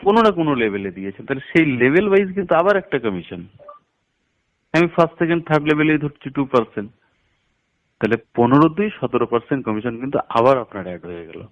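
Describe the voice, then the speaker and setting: A man's voice: mid-range voice, very animated, quick pace. A man explaining a business plan step by step over a phone call, like a promoter instructing a prospect and stressing the figures. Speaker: network marketing promoter. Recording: dull, lo-fi phone call audio.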